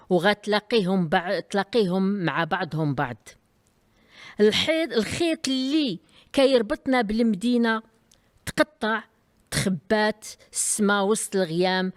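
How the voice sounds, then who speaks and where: A woman reading a poem aloud on a theatre stage, in a rapid, intense recitation. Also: mid-range voice, no room sound, clean studio sound. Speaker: guest reader. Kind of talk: poetry reading.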